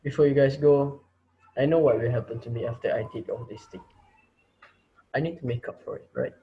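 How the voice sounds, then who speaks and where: A man's voice: medium pitch, brisk, slight background noise, natural voice. A man playing a menacing, preachy teacher who taunts and interrogates his students in an amateur reader's theater drama. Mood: grimly resolved. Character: menacing male teacher, self-appointed reaper judging his students. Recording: dull lo-fi recording.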